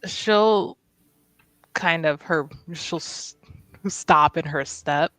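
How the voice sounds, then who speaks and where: A woman's voice: deep voice, drawn-out words, fairly bright tone, dry recording, faint music behind, rough recording. A woman narrating her character in a tabletop roleplaying game, hesitant and thinking aloud with many fillers. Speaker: player.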